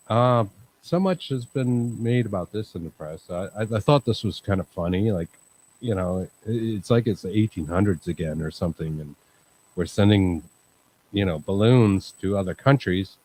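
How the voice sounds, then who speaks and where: Calm low-voiced man hosting. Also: normal speed, poor audio. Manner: calm, rambling, many fillers. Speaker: host. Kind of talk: casual livestream chat.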